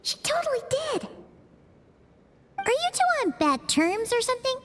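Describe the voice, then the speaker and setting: A woman voicing a small, chatty, excitable female fairy companion in a game cutscene. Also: extremely high pitch, in a large hall, noisy background, heavily acted. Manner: chatty, blurts out reactions, quick to exclaim.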